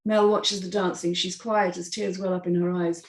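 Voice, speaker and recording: Creaky low-voiced woman narrator in echoey room. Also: flat delivery, normal speed. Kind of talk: scripted screenplay narration, reading stage directions.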